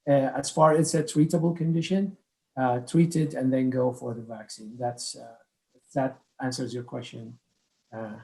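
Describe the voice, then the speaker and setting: A man answering an audience question as a medical expert, explaining in a halting way. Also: mid-range voice, steady tone, creaky, dark tone, low-quality recording.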